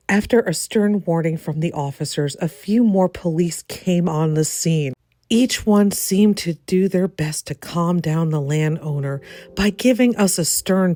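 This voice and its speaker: A woman giving a theatrical, expressive dramatic reading of a first-person story, acting out the quotes. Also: low voice, no echo. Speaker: reader of a written personal story.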